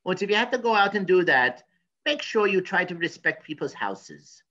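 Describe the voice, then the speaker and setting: A man's voice: high-pitched, big pitch swings, normal speed, sharp tone, muffled recording, full character voice. A man playing a cranky, pompous professor in a comedy news sketch, rambling and stammering. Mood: rambling advice. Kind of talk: improvised mock news interview comedy.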